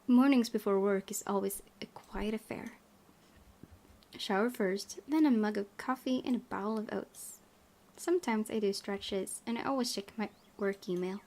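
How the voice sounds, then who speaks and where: Creaky-voiced woman gaming host. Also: mid-range voice, normal speed, a little mellow. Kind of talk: reading a game's narration aloud with casual commentary.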